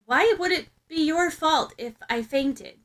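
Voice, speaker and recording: A woman's voice: high-pitched, a little slow, fairly bright tone, pitched above the performer's own voice. A woman voicing an earnest, flustered but plucky teenage girl in a story, hesitant and placating. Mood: defiant, questioning.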